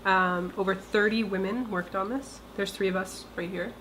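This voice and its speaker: A woman's voice: mid-range voice, steady tone, moderate pace. A woman giving an informal spoken introduction as the presenter of a team project. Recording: faint ambient room noise.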